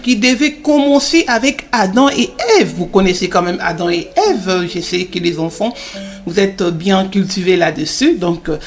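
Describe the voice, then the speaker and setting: A woman's voice: medium pitch, close to an everyday voice. A woman playing a devout mother in a religious children's cartoon, teaching in an encouraging way and repeating phrases for emphasis. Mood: emphatic, hopeful.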